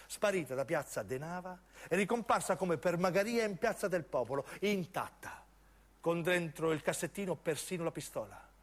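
A man narrates as a stage actor, in intense, deliberate phrases of dramatic radio storytelling. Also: fairly high pitch, fairly bright tone. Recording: piano music under the voice. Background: piano and keyboard music.

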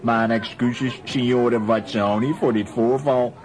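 A man playing a stern, proud sea captain in a children's radio play, with an authoritative, gruff manner. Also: medium pitch, steady tone, slow pace, a faint crowd, slight background noise, close to an everyday voice. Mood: wary.

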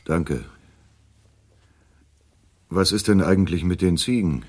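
An actor playing an anxious, hesitant man who narrates a radio drama, with a husky, subdued voice. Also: sits low, slow pace, darkish tone. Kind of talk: radio drama acting and first-person narration.

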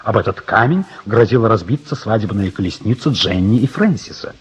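An actor as the narrator of a radio drama, telling the story in a measured, dramatic style. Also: male voice, fairly low pitch, lively intonation, slight background noise, close to an everyday voice. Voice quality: husky. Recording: dull, muffled radio drama recording.

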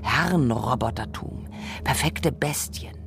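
A performer voicing a reflective radio narrator who muses on robots and humans in deliberate, rhetorical phrases. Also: mid-range voice, gravelly, noisy background. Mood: argumentative. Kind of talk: scripted radio essay narration. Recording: music behind the voice. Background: music.

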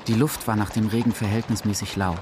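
Low-voiced man narrating. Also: little pitch movement, fast, noisy background. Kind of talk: literary radio drama narration.

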